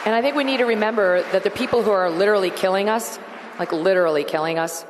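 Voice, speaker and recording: A woman's voice: mid-range voice, a faint crowd, slight background noise. A middle-aged woman speaking bluntly and emphatically in an onstage conference conversation. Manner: blunt, emphatic, quick to laugh. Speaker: show host, interview guest. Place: large conference hall stage with live audience. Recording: long hall reverb.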